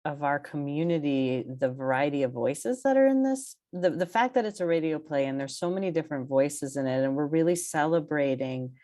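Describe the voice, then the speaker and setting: Casting: woman, low and warm-toned, conversational panel guest. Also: brisk, few pauses. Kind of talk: panel discussion.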